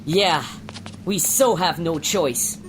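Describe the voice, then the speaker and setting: A woman's voice: on the low side, slow pace, over music, rough recording. A woman playing a complaining male starship captain, casual and slangy, in an amateur sci-fi radio play. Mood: puzzled, resigned.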